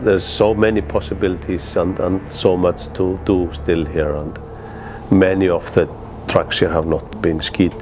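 A middle-aged man from Iceland's East Fjords with an Icelandic accent, reminiscing in halting, plain, non-native English in a documentary interview. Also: low voice, flat delivery, a little mellow, a little hiss or hum. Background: guitar music.

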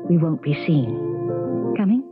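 A woman's voice: deep voice, big pitch swings, slow pace, over music, full character voice. An actress playing a mysterious, alluring, commanding woman who speaks formally in a 1970s radio drama. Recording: tinny 1970s radio recording.

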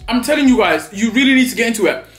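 A man's voice: very high voice, lively intonation, very fast, fairly bright tone, reverberant, faint music behind. A young man, chatting dramatically and playfully in a food vlog while eating at a table. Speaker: vlogger. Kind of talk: mukbang vlog chat. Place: small indoor studio set at a table.

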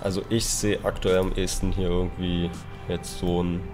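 Low-voiced young man talking market scenarios. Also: normal speed, faint music behind. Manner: relaxed, hesitant, many fillers. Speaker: co-host of a crypto trading show. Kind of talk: casual market analysis chat. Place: indoor room, seated at a table.

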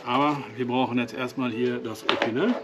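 Relaxed Westphalian man cooking outdoors. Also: medium pitch, a little fast, very noisy. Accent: Westphalian German. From Westphalia, Germany. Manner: relaxed, explanatory, with fillers and small self-corrections. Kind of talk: casual outdoor cooking demonstration. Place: outdoors at a camp stove. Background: music and cooking sizzle.

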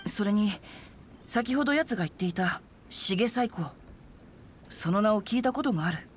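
A woman's voice: mid-range voice, animated, moderate pace, slight background noise, close to an everyday voice. A woman voicing a refined, chivalrous teenage boy swordsman in a Japanese game dub, polite and composed. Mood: composed, earnest. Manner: polite, composed, literary phrasing. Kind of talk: Japanese anime-style game dub acting.

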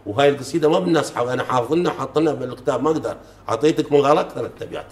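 Brief: fast man reciting poetry. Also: mid-range voice, a little mellow. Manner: measured, chanting recitation of verses. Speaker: folk poet, guest. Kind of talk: reciting folk poetry in conversation. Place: living room sofa, indoors. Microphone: lapel mic. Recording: roomy echo.

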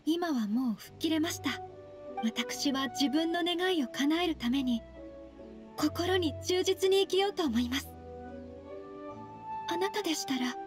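A woman voicing a polite, earnest, slightly shy young noblewoman in a Japanese anime game, speaking gently and formally. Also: sits high. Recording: soft game music behind.

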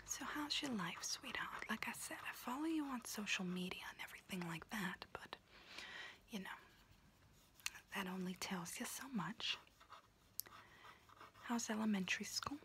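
A woman's voice: mid-range voice, normal speed, long pauses, fairly bright tone, a little hiss or hum, close to an everyday voice. A woman voicing an unhinged, conspiracy-minded auntie doing someone's nails, whispering throughout in an ASMR roleplay and rambling with pet names.

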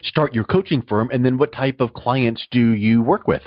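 A man's voice: mid-range voice, normal speed, no echo. A man talking casually as the host of a radio interview. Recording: dry, thin phone-like sound.

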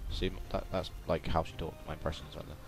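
A man's voice: very deep voice, level tone, fast, creaky. A young man giving casual, rambling, playful let's-play commentary, often repeating his words. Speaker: gaming video host. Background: video game music and sounds.

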